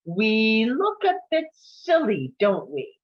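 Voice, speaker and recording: A woman's voice: fairly high pitch, wildly swooping pitch, slow, lo-fi sound, full character voice. A woman playing a smug, prim roommate at a comedy screenplay table read. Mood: smug, condescending.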